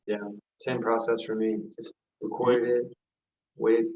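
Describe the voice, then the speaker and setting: A man, an actor, answering casually and haltingly in a video call Q&A. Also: medium pitch, completely flat delivery, a little mellow. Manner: halting, hesitant. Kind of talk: casual Q&A discussion. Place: echoey room on a video call. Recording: roomy, dull video-call audio.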